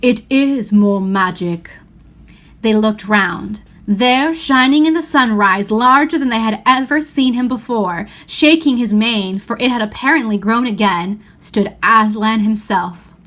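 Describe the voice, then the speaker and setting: A woman with a slightly high voice narrates a children's novel. She reads expressively, as a storybook reader. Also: measured pace, fairly bright tone.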